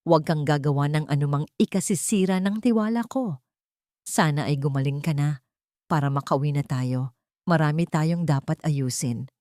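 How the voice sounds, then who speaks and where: A woman's voice: deep voice, normal speed, dark tone, no echo, clean studio sound, natural voice. A woman with a Filipino accent voicing a possessive, sweet-talking boyfriend who makes veiled threats in an audio story. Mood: veiled menace. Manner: sweet but menacing murmur.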